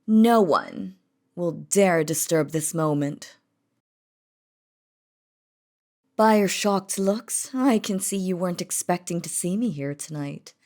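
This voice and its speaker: A woman's voice: lowish voice, expressive, a little mellow. A woman voicing an imperious, possessive, lovestruck demon queen in a scripted roleplay audio drama, with a haughty, theatrical delivery. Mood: haughty, menacing. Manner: haughty, theatrical, slow and deliberate.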